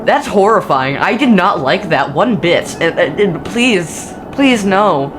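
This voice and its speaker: An excitable reaction host talking casually and jumpily, with frequent exclamations and repeated phrases. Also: mid-range voice, slow pace, noisy background.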